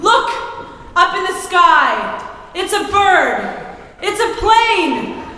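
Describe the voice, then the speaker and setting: A woman's voice: extremely high pitch, big pitch swings, drawn-out words, pushed and bright, slight background noise, exaggerated voice. A woman playing a grandiose, declamatory announcer narrating a 1940s-style radio serial. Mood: rousing. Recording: echoey hall, music behind. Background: music.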